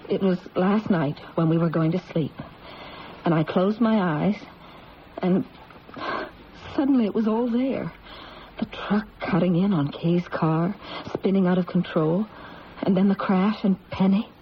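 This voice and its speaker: A woman's voice: lowish voice, unhurried, natural voice. A woman playing a troubled, earnest wife in a radio drama, speaking seriously and hesitantly. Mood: troubled, scared. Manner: tense phrasing, serious, hesitant. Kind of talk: 1970s radio drama acting. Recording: muffled old radio recording, faint noise.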